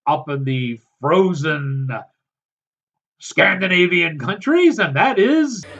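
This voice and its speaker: A man reviewing a film in a sardonic, halting way, with many fillers. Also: high-pitched, animated, a bit bright, lo-fi sound. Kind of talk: casual film review commentary.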